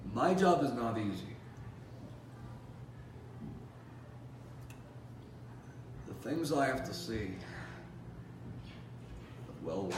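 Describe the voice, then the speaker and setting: A man playing a world-weary, animated police officer telling stories from the beat in a theatrical, conversational way with dramatic pauses. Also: medium pitch. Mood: sombre, reflective. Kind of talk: competitive dramatic monologue performance. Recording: roomy, distant mic with faint noise.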